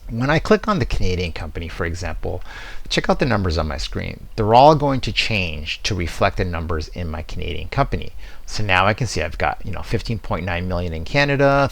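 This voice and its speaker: A man giving an explanatory software demo walkthrough, stressing his key words as he presents. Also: sits low, creaky, no echo.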